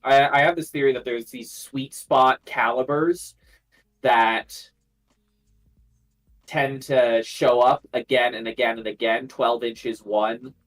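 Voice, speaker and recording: A man's voice: mid-range voice, fairly even intonation, slow pace, fairly bright tone, rough recording. A man in a casual, speculative hobby discussion, starting hesitantly and repeating words for emphasis. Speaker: panelist in a group discussion.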